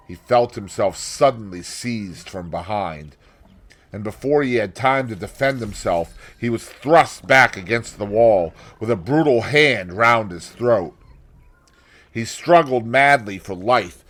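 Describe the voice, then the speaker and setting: A man reading literary fiction as an audiobook narrator, dramatic and deliberate, letting his phrases drop at the end. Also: lowish voice, a bit bright.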